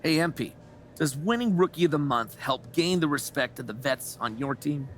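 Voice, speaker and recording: A man's voice: on the high side, expressive, normal speed, a bit bright, a little hiss or hum. A man playing a persistent sports reporter in a video game, pressing with insistent, leading questions. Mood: prodding.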